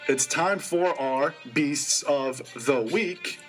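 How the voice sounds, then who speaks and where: A young man co-hosting a college sports radio show, reading off stats in casual, excitable talk. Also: fairly high pitch, faint music behind. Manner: rattles off stats quickly, excitable.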